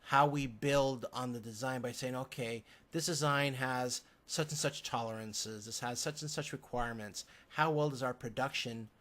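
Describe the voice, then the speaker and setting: A man lecturing from slides, explaining step by step in a halting way. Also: mid-range voice, steady tone, fairly bright tone.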